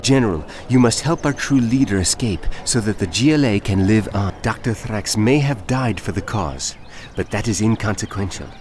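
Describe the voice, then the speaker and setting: A man's voice: lowish voice, animated, normal speed, noisy background, natural voice. An actor playing a grave, resolute male militant officer giving a scripted mission briefing in a video game. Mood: urgent, resolute. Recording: loud plucked-string game music underneath.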